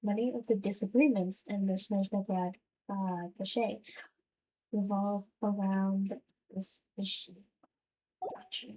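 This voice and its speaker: A woman's voice: medium pitch, steady tone, takes it slowly, mellow, low-quality recording. A woman streamer giving casual video game commentary and reading game text aloud. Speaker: virtual streamer playing a game.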